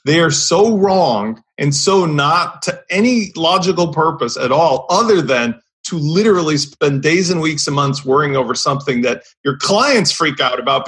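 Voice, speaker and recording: Blunt, husky-voiced man ranting. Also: fairly high pitch, fairly bright tone. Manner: blunt, emphatic, swears casually. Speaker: podcast panelist. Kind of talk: opinionated casual discussion.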